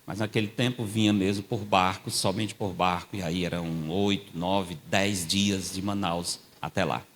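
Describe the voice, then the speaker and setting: An older man preaching a sermon in a church. He tells stories in a conversational way, checks in with the congregation, and grows louder and higher when he quotes scripture. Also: fairly even intonation, moderate pace. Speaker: guest preacher. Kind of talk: sermon with storytelling.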